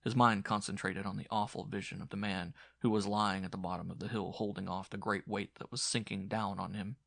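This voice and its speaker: A man's voice: lowish voice, fairly even intonation, fairly quick, creaky, a bit bright, no room sound, clean studio sound. A man giving a dramatic scripted narration of a horror story as an audiobook narrator.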